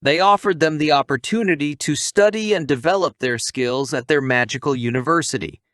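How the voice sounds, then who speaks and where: Man narrating a recap, lively swooping pitch. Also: no echo, clean studio sound. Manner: playful asides and rhetorical questions to the viewer. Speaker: anime recap narrator, channel host. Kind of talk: scripted story recap narration.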